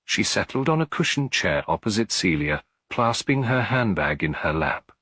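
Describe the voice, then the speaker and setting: A man's voice: lowish voice, big pitch swings, normal speed, a bit bright, breathy edge, no echo. A man reading a classic detective story as a scripted audiobook narration.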